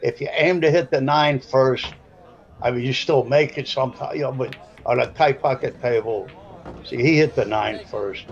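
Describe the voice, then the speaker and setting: A man's voice: mid-range voice, moderate pace, gravelly, dull audio. A man in his seventies with a South Side Chicago accent, giving rambling, folksy color commentary on a pool match. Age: 70s.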